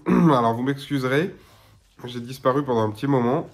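A man in his thirties with a blocked nose and slightly hoarse voice, chatting casually to his webcam at home as a gaming YouTuber, rambling with lots of filler sounds. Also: medium pitch, normal speed. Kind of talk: casual to-camera chat. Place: small room at home.

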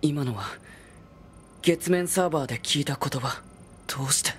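A man's voice: fairly high pitch, moderate pace, slight background noise, natural voice. An actor playing a troubled young male android soldier in a video game, polite and earnest. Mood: puzzled. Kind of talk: dramatic video game acting.

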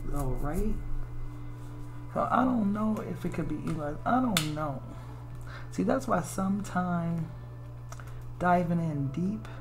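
A woman's voice: lowish voice, gravelly. An American woman giving a casual, chatty tarot reading, musing aloud in informal, slangy, salty language. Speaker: tarot reader.

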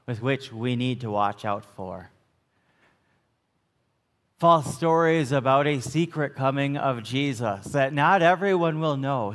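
A middle-aged American man preaching a sermon as a pastor, phrasing deliberately with emphatic stresses in a church. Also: medium pitch. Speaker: Lutheran pastor. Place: church sanctuary, at the pulpit. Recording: long church reverb.

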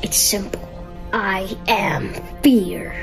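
A woman's voice: medium pitch, very slow, gravelly, fairly bright tone, faint music behind, voice pushed down, full character voice. A woman voicing a menacing villain who embodies fear, in a comedy parody.

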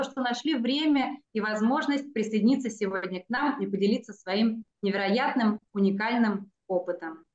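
A middle-aged woman giving a formal welcome speech over a video call, reading each passage in two languages. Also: a little high. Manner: reads each passage twice, first one language then the other. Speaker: cultural center representative. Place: indoor room, video call. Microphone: video call webcam. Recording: echoey, dull video call audio.

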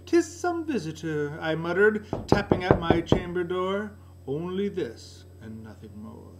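A man's voice: sits high, unhurried. A middle-aged man giving a dramatic, theatrical recitation of a gothic poem in an indoor room. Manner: theatrical, swinging from hushed murmurs to loud cries. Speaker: poetry reader, library director. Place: indoor room by a curtained window. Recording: noisy room recording with steady hum.

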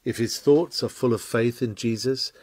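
A man reads a scripted sermon aloud in a measured, formal and emphatic oratorical manner. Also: medium pitch, fairly even intonation, darkish tone, husky, no echo. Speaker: reader of a sermon. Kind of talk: expressive sermon reading, scripted narration.

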